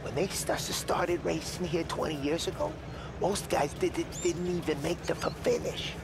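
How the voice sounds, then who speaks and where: An actor playing a timid, friendly mechanic with a stutter in a crime game. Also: male voice, a little high, fairly even intonation, moderate pace, lightly acted. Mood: shy, pleased. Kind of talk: cinematic crime game acting. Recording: noisy outdoor garage ambience.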